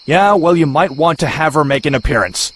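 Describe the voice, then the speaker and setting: A man playing a brash, sarcastic young male antihero in an animated comic adventure. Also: fairly high pitch, a little fast. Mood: wry, uneasy. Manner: sarcastic, sardonic.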